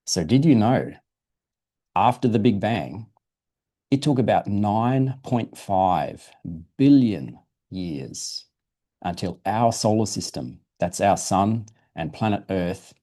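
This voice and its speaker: A man with a fairly low, very mellow voice reads a science storybook to children. He explains things simply, in the manner of a museum presenter at storytime. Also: lively intonation, slow pace. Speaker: guest presenter from a dinosaur museum. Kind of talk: reading a children's science book aloud.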